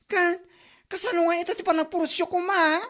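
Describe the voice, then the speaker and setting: A woman doing a complaining ilocano neighbor lady, high voice, gossipy. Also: little pitch movement, brisk, fairly bright tone. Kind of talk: comedy drama acting.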